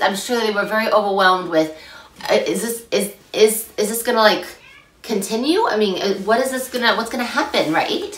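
A young woman with a slightly hoarse voice from a recent illness, teaching a chatty, animated scripture lesson to camera from a small room at home. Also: mid-range voice. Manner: chatty and animated, gesturing a lot. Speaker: scripture study host. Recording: echoey room, mic at a distance.

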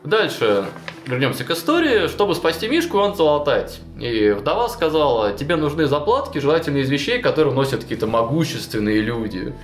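A young man casually retelling a tabletop game campaign to a co-host, animated and full of fillers and restarts, in a small indoor studio. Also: fairly high pitch, brisk. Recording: roomy echo, faint music behind.

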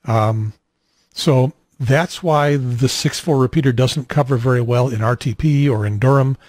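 Older male net host, mid-pitched, conversational technical explainer, dry close recording. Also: measured pace, a little mellow, dry recording. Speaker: amateur radio net host. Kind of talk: conversational technical explanation over ham radio. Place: small home radio room, indoors. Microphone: handheld radio mic held at mouth, boom mic nearby.

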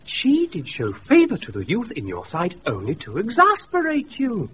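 An actor playing in a Shakespearean radio comedy, with an animated, theatrical manner. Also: male voice, sits high, moderate pace. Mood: mock-earnest, goading. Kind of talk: comic Shakespearean radio acting. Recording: muffled, noisy off-air radio recording.

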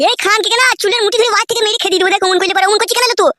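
Irritable two-timing village husband, comedy cartoon. Raised thin pitch, rapid crude bluster, rural West Bengal accent. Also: male voice, fairly even intonation, noisy background. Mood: exasperated. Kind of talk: comedy cartoon dub, quarrelling.

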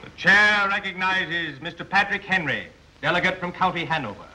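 Colonial assembly official, high formal proclamation, heavy noise, 1930s film drama. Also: male voice, lively intonation, normal speed. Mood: proclaiming. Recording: very noisy old film soundtrack.

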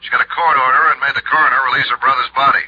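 An American man acting an urgent, businesslike insurance colleague in a 1950s radio drama, speaking briskly and forcefully. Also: mid-range voice, steady tone, husky, natural voice. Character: urgent, businesslike male insurance colleague reporting bad news. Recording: dull old radio recording.

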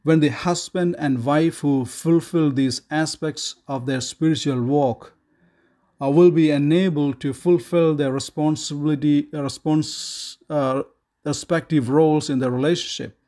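A middle-aged man teaching a Bible study, measured and deliberate, reading verses aloud with frequent "uh" fillers, recorded indoors close to the camera. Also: a little high, fairly even intonation, warm tone.

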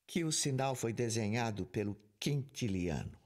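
An actor playing a stern, authoritative squad commander in an audio drama, speaking firmly. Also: male voice, mid-range voice, animated, normal speed, a little mellow, natural voice. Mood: grave. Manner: firm, authoritative.

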